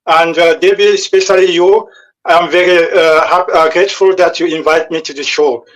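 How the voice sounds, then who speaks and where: Polite higher-voiced man from Cameroon. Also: fairly even intonation, moderate pace, rough recording. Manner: polite, repeating his thanks, hesitant. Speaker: guest. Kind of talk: casual self-introduction.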